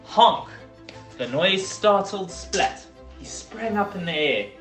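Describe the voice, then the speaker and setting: Someone reading a children's story aloud in an expressive, deliberate way, leaning into the sound words. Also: mid-range voice, faint music behind. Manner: animated, deliberate, emphatic on dialogue and sound words. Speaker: volunteer storybook reader. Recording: roomy echo.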